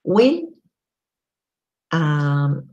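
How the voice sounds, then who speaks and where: Slow-talking woman with a croaky voice. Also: low-pitched, big pitch swings, darkish tone, highs cut off. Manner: slow, chatty, frequent ums. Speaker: live stream host. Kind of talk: casual solo live stream.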